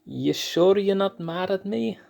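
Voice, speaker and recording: A man's voice: measured pace, a little mellow, soft background music, heavily acted. A man voicing a timid, nervous young male lion cub who stammers and hesitates. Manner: hesitant, stammering, high and breathy-edged.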